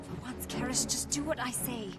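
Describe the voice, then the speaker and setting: A woman's voice: a little high, normal speed, natural voice. An actress playing a resolute, selfless plant-folk soldier woman who speaks firmly in a video game. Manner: firm, decisive. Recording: music behind.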